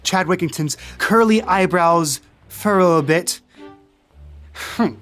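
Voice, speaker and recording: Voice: medium pitch, lively intonation, moderate pace, fairly bright tone, faint music behind. A game master hosting a podcast, narrating and announcing in a playful, jokey, casual way.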